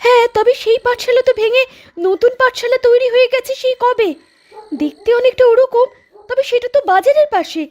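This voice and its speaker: A woman's voice: extremely high pitch, a little fast, a little mellow, highs cut off. A woman voicing a frightened, stammering village wife in a cartoon. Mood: uneasy. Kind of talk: cartoon voice acting.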